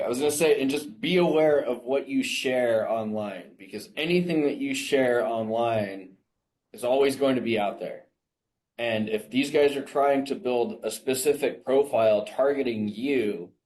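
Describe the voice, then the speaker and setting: A man's voice: mid-range voice, darkish tone. A man co-hosting a podcast in casual conversation, earnestly warning about scams and acting out what scammers say. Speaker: podcast co-host.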